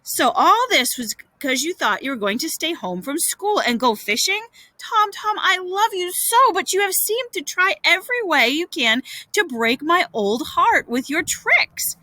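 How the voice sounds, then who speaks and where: Woman playing a fussing, bright-voiced older aunt. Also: sits high, big pitch swings, normal speed, few pauses, dry recording, full character voice. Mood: tender reproach. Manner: emphatic, fussing. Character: older aunt, brisk, scolding but soft-hearted guardian. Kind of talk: storybook character voice.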